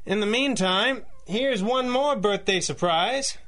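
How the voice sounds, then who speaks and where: A man's voice: high voice, slow pace, fairly bright tone. A man playing a suave, confident, wryly amused masked superhero in a 1930s-style radio adventure serial, speaking formally and theatrically. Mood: fond, wry. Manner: formal, smooth-talking, theatrically composed, droll.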